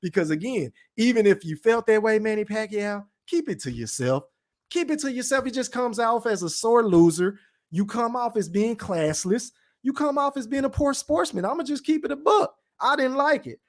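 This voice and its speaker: A man's voice: extremely high pitch, expressive, normal speed. A man from Dallas-Fort Worth with a Texan accent, hosting a podcast livestream, reading the chat and giving blunt, profane opinions. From Texas, Dallas-Fort Worth. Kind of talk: casual livestream rant.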